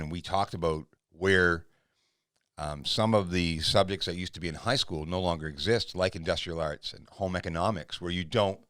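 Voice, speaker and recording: A man's voice: deep voice, a bit bright, no room sound, studio quality. A man hosting a podcast, chatting and asking his guest questions. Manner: conversational, asks questions. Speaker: podcast host. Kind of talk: casual interview chat.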